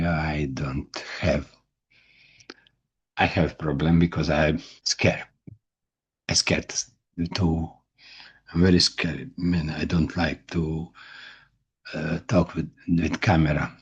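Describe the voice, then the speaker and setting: A middle-aged man with a Croatian accent, a native Croatian speaker, talking to camera about his writing in halting, broken English full of restarts and repeated words. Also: low-pitched, husky, dull audio. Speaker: indie author and book vlogger. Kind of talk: nervous personal talk to camera.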